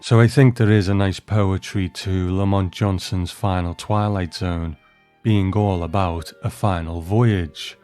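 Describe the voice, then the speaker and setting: A man narrating a scripted commentary on television episodes in a measured, explanatory way, as the host. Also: low-pitched, fairly even intonation, a little mellow, soft background music. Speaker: host of a commentary show on an old TV series.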